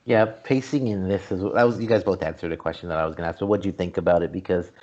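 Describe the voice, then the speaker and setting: A man with a fairly low voice hosts a movie review show in a relaxed, informal panel style. The recording is a little dull and lo-fi. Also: fast talker. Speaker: host of a movie review podcast. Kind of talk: casual panel discussion.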